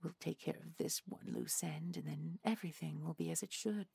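A woman's voice: deep voice, brisk, darkish tone, no room sound, full character voice. A woman playing a menacing, mock-polite supernatural villain in an audio drama, speaking in a taunting, falsely sweet manner. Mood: smugly sweet. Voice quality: creaky, breathy. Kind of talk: audio roleplay acting.

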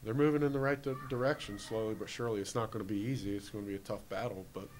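Low-voiced Canadian man, matter-of-fact. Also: racing, a little hiss or hum. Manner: steady, matter-of-fact, plain-spoken. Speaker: baseball manager. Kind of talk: postgame press interview. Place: indoor clubhouse hallway. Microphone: handheld reporter mic.

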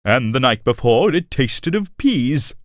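Kids' folktale cartoon: amiable, sly wandering storyteller, expressive delivery, close dry sound. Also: male voice, mid-range voice, a little slow, dry recording. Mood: contented. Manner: amiable, courteous. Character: friendly, easygoing male traveler, sly storytelling companion. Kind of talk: animated children's story acting.

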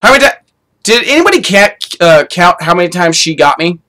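Young man yelling through a horror game. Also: high voice, very animated, brisk. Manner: swears a lot, yells in panic. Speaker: streamer. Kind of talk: live horror game commentary. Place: small room indoors. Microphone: headset.

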